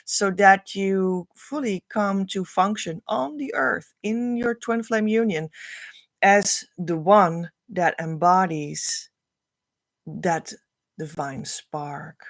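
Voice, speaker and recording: A woman whose first language is Dutch, speaking English with a Dutch accent, teaches spiritual values on a livestream in an earnest, repetitive, flowing way with soft trailing phrase ends. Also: medium pitch, takes it slowly, dry recording. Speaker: spiritual coach.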